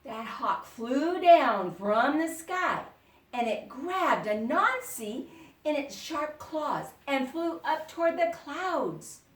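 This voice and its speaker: Animated woman reading to children, roomy echo. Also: sits high. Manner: expressive, dramatic, pauses to explain words. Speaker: teacher reading a story aloud to children. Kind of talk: animated children's read-aloud with questions to listeners. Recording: roomy echo, distant microphone.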